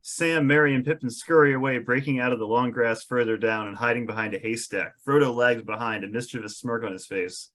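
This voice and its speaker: A man's voice: mid-range voice, steady tone, a little fast, few pauses, fairly bright tone. A man reading stage directions as narrator in a table read of a film script.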